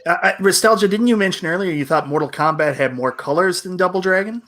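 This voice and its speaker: A man's voice: high-pitched, fast talker, fairly bright tone. A man speaking as a panelist in casual, explanatory chat on a live show.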